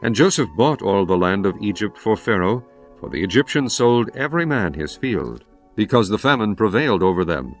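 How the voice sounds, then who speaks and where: A man narrating scripture in a solemn, expressive scripted reading. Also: lowish voice, normal speed. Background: faint music.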